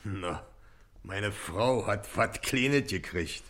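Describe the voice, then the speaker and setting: Radio play working-class boatman: Berlin dialect, stubborn, slyly innocent, animated intonation, plain folksy delivery. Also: fairly low pitch, very slow, heavily acted. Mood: folksy, matter-of-fact.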